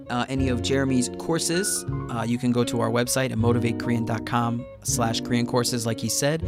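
Relaxed chatty male podcast host. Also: mid-range voice. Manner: relaxed, chatty, laughs often. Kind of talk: casual podcast chat.

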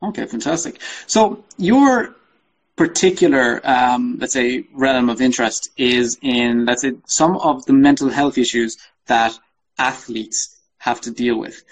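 A man interviews a guest as a podcast host. His voice is husky and mid-pitched, and he phrases his questions casually and politely. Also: unhurried, poor audio.

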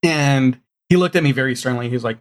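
A man in a relaxed, informal interview, speaking at a middling pitch. He tells things like a storyteller, slipping into quoted exchanges as he explains his work as a showrunner. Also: fast. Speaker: guest, audio drama showrunner. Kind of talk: casual interview chat.

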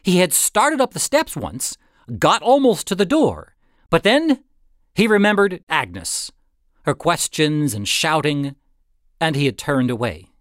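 A man reads a short story aloud in a dramatic, deliberate style. His pitch moves widely from phrase to phrase, and he takes his time, leaving pauses for effect. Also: big pitch swings, no room sound, clean studio sound. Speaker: audiobook narrator. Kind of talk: dramatic scripted story narration.

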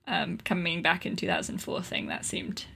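A woman's voice: fairly low pitch, fairly even intonation, moderate pace, vocal fry, sharp tone, slightly rough. A woman chatting casually on a comedy podcast, with an informal, wry way of talking. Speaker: podcast panelist.

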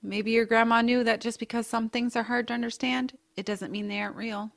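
A woman as a kindly aunt, higher-pitched. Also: level tone, brisk, creaky. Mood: wistful, sincere. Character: kindly, whimsical aunt, bookish home cook. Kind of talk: family audio drama dialogue.

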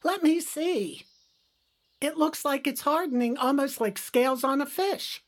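A woman's voice: high-pitched, animated, measured pace. A woman playing a fretful, stubborn older mother and wife in an audio drama. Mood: wary.

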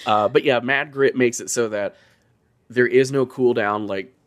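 An animated co-host explains games in slangy, jokey style with a medium-pitched voice. The talk is loose and casual, with plenty of swearing. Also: unhurried. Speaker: co-host explaining game perks. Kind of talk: casual, sweary gaming chat.

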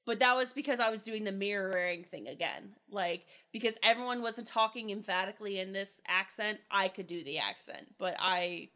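A woman's voice: medium pitch, normal speed, few pauses, bright, forceful tone. A woman with a light Pittsburgh accent chatting casually on a podcast, self-deprecating and full of fillers. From US Northeast-Midwest border. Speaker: podcast cast member. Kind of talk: casual chat. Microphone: home podcast mic.

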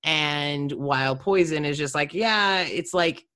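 A woman interviewer and actor chatting casually, animated and slipping into imagined quotes as she talks. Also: low-pitched, slow pace.

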